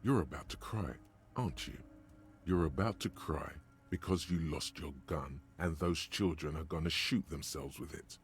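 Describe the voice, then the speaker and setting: A man's voice: very deep voice, normal speed, soft background music. A man voicing the grave, taunting inner voice of a detective's mind in a video game.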